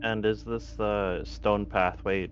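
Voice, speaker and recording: A man playing in a tabletop horror game, asking slow, careful questions. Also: on the low side, little pitch movement. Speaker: player. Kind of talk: casual game chat. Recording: dull lo-fi mic with faint noise.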